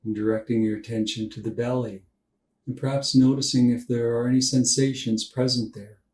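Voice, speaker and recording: A man guiding a meditation for sleep in a calm, unhurried, gentle instructional manner with long pauses. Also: mid-range voice. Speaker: meditation guide. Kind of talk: guided body scan meditation for sleep. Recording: roomy echo, distant from mic.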